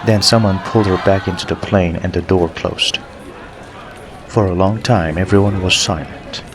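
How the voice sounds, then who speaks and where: A man's voice: low voice, steady tone, normal speed, heavy background noise. A man narrating an audiobook story in a scripted reading style.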